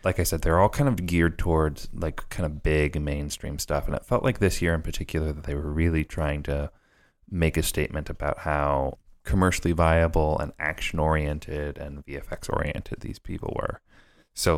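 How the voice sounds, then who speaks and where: Deep-voiced enthusiastic podcast host. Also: male voice. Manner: chatty and enthusiastic, many fillers. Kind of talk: casual conversational podcast chat.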